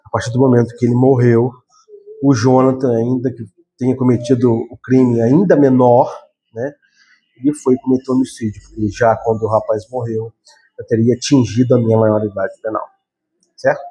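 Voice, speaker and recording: A Brazilian man gives a conversational law lesson, checking in with his listeners through frequent tag questions and correcting himself as he goes. His voice is warm and mellow, sitting in an ordinary middle pitch for a man. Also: fairly even intonation, normal speed, poor audio. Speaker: law teacher. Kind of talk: explanatory lecture.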